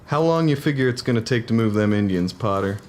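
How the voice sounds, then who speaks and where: Man acting a low-voiced skeptical cavalry private. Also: animated, fast talker, a little mellow, slight background noise, natural voice. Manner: plainspoken folksy frontier speech. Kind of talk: historical dramatization dialogue.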